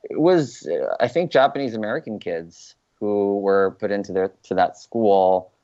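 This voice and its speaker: A man's voice: on the low side, normal speed. A young man from Los Angeles, an actor being interviewed, talking casually with lots of ums and you-knows. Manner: relaxed, rambling with many fillers. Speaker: guest actor. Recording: dull lo-fi sound.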